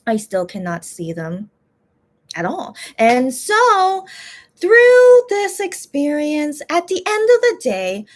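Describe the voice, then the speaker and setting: A woman's voice: high voice, wildly swooping pitch, slow. A woman vlogger chatting casually to her viewers, often repeating phrases for emphasis.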